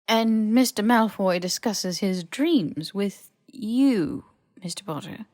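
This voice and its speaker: A woman voicing a stern, shrewd older school headmistress in an audiobook, with crisp, formal phrasing and dry exasperation. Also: mid-range voice, slow pace, a little mellow, dry recording, natural voice. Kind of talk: audiobook character voice.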